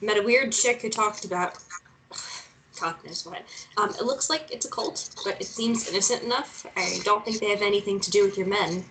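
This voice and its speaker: A woman's voice: mid-range voice, moderate pace, fairly bright tone, slight background noise, natural voice, poor audio. A woman playing a stubborn, irreverent young woman in a screenplay read, talking in a hesitant, conversational way. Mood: guarded. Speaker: actor in a screenplay table read.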